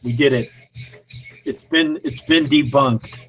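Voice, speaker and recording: A man's voice: medium pitch, slow, a bit bright, soft background music. A man co-hosting a casual livestream, commenting wryly on the videos they play. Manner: casual and wry. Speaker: podcast co-host.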